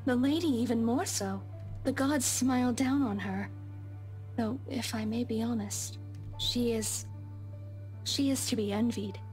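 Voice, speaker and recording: Woman acting high-voiced, eerily devoted housemaid. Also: unhurried, long pauses, darkish tone, soft background music, close to an everyday voice, poor audio. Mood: cryptic. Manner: formal, deferential, archaic phrasing. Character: soft-spoken young housemaid, devoted, obsessive and cryptic. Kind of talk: visual novel game acting.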